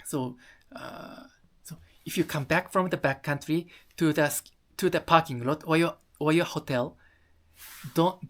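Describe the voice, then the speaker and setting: A presenter explaining things to viewers in halting, careful English with non-native phrasing. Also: medium pitch. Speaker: video presenter.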